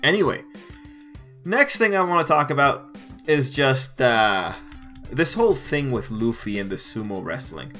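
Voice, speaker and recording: A man's voice: medium pitch, very animated, measured pace, bright, forceful tone, soft background music. A man giving a casual manga review as a voice-over, emphatic and repeating phrases to drive points home. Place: voice-over over still images.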